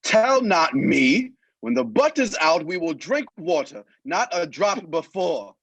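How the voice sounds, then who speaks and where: A man's voice: high-pitched, big pitch swings, slow pace, vocal fry, fairly bright tone, slight background noise, full character voice. An actor playing a pompous, bossy drunkard who lords it over his companions in a Shakespearean radio play. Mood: drunken, bossy. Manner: pompous, commanding, archaic speech. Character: blustering, bossy drunken man playing lord.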